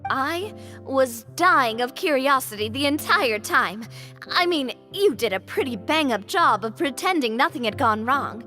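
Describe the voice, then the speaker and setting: Bright, high-voiced breezy girl. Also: expressive, measured pace, soft background music, heavily acted. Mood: curious. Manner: casual, candid. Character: breezy, curious young woman, candid and friendly. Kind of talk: scripted video game dialogue.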